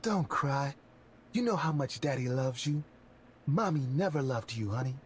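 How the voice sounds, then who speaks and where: A man voicing a creepy adult man who fakes a high, breathy pop-star voice and erupts into screaming. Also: animated, moderate pace, over a noisy crowd, natural voice. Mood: creepy soothing. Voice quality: shrill, singsong falsetto.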